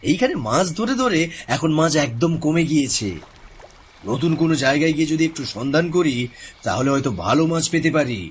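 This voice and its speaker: Over faint background noise, a practical village fisherman from a cartoon, played by a man. The voice is a bit high and very animated, with a thoughtful manner. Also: a little fast, close to an everyday voice. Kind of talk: Bangla cartoon voice acting.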